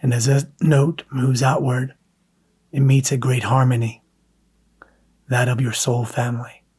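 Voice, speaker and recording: A man guiding a scripted meditation in a soft, hushed, breathy manner, leaving long pauses between phrases. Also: mid-range voice, flat delivery. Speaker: meditation guide.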